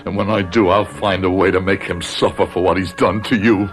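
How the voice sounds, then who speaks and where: A man's voice: low-pitched, steady tone, fairly quick, close to an everyday voice. An actor playing a grave, protective middle-aged professor father in a radio drama, formal yet tender. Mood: grimly vengeful. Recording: roomy sound with music underneath.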